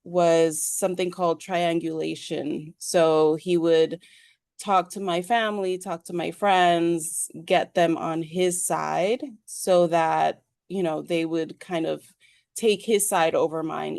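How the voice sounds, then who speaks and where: Smooth-voiced, slow, hesitant woman guest. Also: low-pitched, steady tone, a little mellow, no echo, studio quality. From New York, USA, born in Peru. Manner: hesitant, halting, thinking as she speaks. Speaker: podcast guest. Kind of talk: personal storytelling in an interview.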